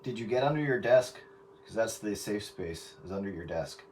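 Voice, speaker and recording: A man's voice: on the low side, lively intonation, normal speed. An American man in casual, wryly joking chat over a CB radio. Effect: radio transmission. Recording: distant, very noisy radio signal.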